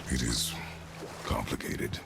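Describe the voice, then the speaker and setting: An actor playing a stern, weary warrior father in a video game, terse and commanding, with formal wording and a gravelly voice. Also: slow pace, noisy background, close to an everyday voice. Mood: measured, solemn. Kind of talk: video game cinematic acting.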